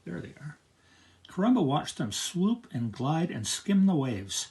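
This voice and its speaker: An older man reading a picture book aloud to children as a grandfatherly storyteller, with expressive narration. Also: on the high side, a little slow, gravelly.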